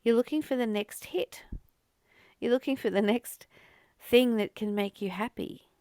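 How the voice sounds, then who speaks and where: A woman giving a contemplative spiritual reading with informal personal commentary, pausing and repeating phrases as she goes. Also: a little high, darkish tone, dry recording, clean studio sound. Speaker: host of a spiritual reading and study series.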